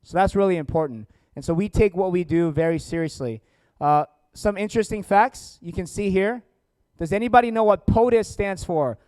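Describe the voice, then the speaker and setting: A man's voice: high voice, moderate pace, darkish tone, clean tone, no echo. A man with an American accent presenting informally to an audience as a seminar host, jokey and engaging. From born in South Korea, grew up in Atlanta, United States. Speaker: seminar host, company founder and educator. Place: indoor seminar room with families and online viewers.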